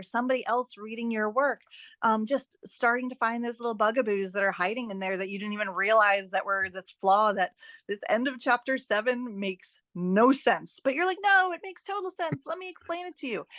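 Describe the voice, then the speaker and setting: Expressive woman giving advice, close dry sound. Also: on the high side, no echo. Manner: animated, emphatic, repeats phrases for stress; encouraging, playful asides. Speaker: podcast guest, writing and editing expert. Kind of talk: conversational interview, giving advice.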